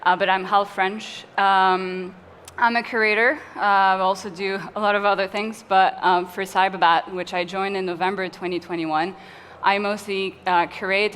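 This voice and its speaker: A woman's voice: medium pitch, little pitch movement, normal speed, fairly bright tone, a little hiss or hum. Woman from Tunisia, panel curator. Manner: friendly, hesitant with fillers. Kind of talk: conference panel talk. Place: conference hall. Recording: long hall reverb, faint ambience.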